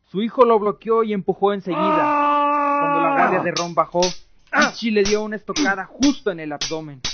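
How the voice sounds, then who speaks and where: A man's voice: high voice, noisy background. A man reading dramatic scripted narration as the narrator of a radio drama. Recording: muffled audio with music. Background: faint music.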